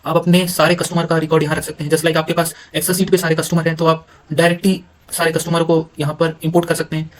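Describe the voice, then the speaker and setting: An Indian man giving an informal software walkthrough, halting at times and mixing English terms into his speech. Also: a little high, completely flat delivery. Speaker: software demonstrator. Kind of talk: informal screen-recorded tutorial walkthrough.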